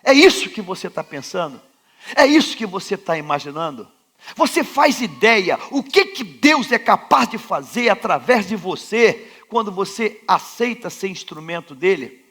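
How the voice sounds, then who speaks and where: A Brazilian man with a Rio de Janeiro accent, preaching with a high, very animated voice that climbs and drops. His tone is bright and forceful. He presses his listeners with rhetorical questions and repeated refrains in a large church sanctuary. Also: normal speed, slightly rough. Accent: Rio de Janeiro Brazilian Portuguese. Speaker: pastor. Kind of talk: sermon.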